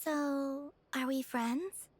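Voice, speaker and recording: A woman's voice: high-pitched, fairly even intonation, very slow, a little hiss or hum. A woman voicing a serene, earnestly naive young moon goddess in a video game, with a girlish voice. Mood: innocent, earnest.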